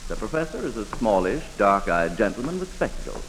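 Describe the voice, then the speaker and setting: An actor playing a courteous mystery host, announcing in a formal, theatrical 1940s radio manner. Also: male voice, lowish voice, very animated, fairly quick, fairly bright tone, long reverb tail, slight background noise, poor audio. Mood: suspenseful, theatrical. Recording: old 1940s radio broadcast.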